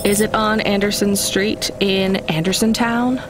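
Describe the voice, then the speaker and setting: An actress playing a practical, inquisitive young courier in a video game, talking in a wry, matter-of-fact way. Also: female voice, medium pitch, steady tone, a little slow, background noise, natural voice. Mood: wry, probing.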